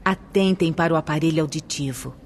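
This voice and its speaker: A woman playing a gentle, devout spirit teacher in a Brazilian radio drama, speaking formally and deliberately with a Brazilian accent. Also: low-pitched, a little hiss or hum. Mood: reverent, instructive.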